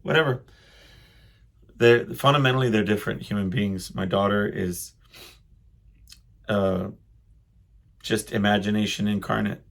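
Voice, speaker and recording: Creaky-voiced middle-aged man, rambling thoughtfully. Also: lowish voice, fairly even intonation. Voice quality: loud voice. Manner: rambling, halting, thinking aloud. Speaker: podcast host. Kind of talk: casual solo monologue, personal reflection.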